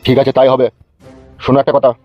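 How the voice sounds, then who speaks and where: A man's voice: medium pitch, completely flat delivery, fast, dull audio, over loud music, natural voice. A man playing a bossy, crooked, foul-mouthed village politician in a cartoon comedy, blustering and commanding. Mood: stern, self-important. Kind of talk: Bengali cartoon comedy dialogue.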